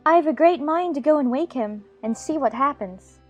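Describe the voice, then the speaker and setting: A woman voicing a curious, polite young girl in a storybook audiobook, musing and gently plaintive. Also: sits high, animated, normal speed, dull audio, faint music behind. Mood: puzzled, complaining.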